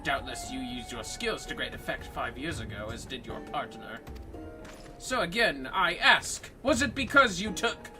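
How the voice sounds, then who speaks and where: A man voicing a sharp, scolding inspector in a game, theatrical, accusing and exasperated. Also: medium pitch, very animated, a little slow, recorded from a distance, over music. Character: sharp, scolding male inspector interrogating a suspect.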